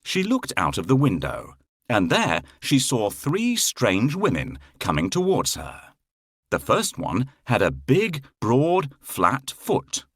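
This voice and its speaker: Very animated, slow male storyteller. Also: medium pitch, breathy edge, no room sound, studio quality. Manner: expressive, theatrical, deliberate. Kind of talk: scripted children's fairy-tale narration.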